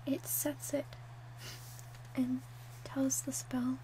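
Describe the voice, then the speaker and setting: A woman speaking in a hushed, gentle way, narrating an ASMR roleplay step by step. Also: sits high, flat delivery, drawn-out words, slight background noise, low-quality recording. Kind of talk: whispered ASMR roleplay demonstration.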